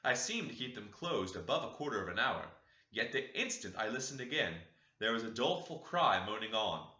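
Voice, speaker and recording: A man reading a classic novel aloud with dramatic expression and a lively, swinging pitch. His tone is bright and sharp. The room is echoey and the sound a bit muffled. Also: fairly quick. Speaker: audiobook narrator. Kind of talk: scripted narration of a classic novel.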